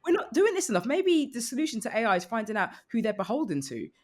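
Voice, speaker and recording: A woman comedian chatting casually as a podcast guest, riffing in a rambling, animated comic way. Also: a little high, fast talker. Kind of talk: casual comic panel chat.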